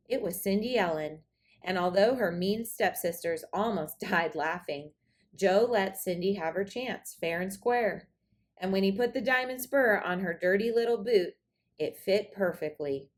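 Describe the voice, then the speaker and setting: Expressive woman reading a children's storybook. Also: on the low side. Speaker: storybook reader. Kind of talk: expressive children's read-aloud narration.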